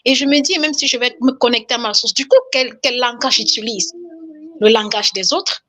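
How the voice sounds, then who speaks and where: A woman's voice: on the high side, moderate pace, pushed and bright. A woman from Cameroon, calling in to a spiritual talk show to give an emotional testimony, often repeating herself. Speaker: caller giving testimony.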